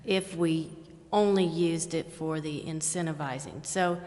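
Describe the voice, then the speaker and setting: A woman's voice: sits low, steady tone, unhurried, a little mellow. A woman committee member voicing a concern at a meeting, thinking aloud tentatively. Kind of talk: meeting discussion. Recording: council chamber with long reverb.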